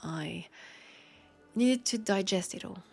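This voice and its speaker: Slow, breathy French vampire woman, acted. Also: mid-range voice, heavily acted. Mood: grieving, hesitant. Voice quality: breathy, soft. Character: wary, grieving centuries-old female vampire detective. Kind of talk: intimate scripted audio roleplay, addressed to listener. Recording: music under the voice. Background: music.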